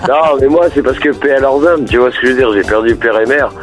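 A man from the Paris region calling in to a radio show by phone, talking haltingly with lots of repeated words and fillers. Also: mid-range voice, faint music behind. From Paris region, France. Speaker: radio caller. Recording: muffled phone line.

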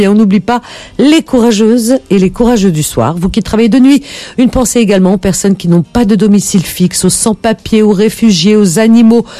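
A woman's voice: medium pitch, dark tone, no echo. A woman hosting a late-night call-in radio show, gushing and laughing often as she invites listeners to share their stories.

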